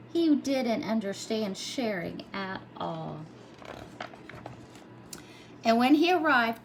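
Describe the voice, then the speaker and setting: A woman's voice: a little high, a little hiss or hum, rough recording. A woman reading a children's storybook aloud expressively, in the style of a preschool teacher.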